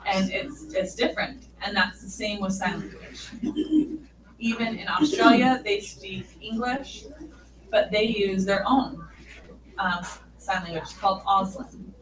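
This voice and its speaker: A woman's voice: mid-range voice, measured pace. A middle-aged deaf woman teaching a sign language class, patiently explaining step by step. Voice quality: deaf speaker's voice. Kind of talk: teaching a class, answering questions. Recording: echoey hall from far off, noisy.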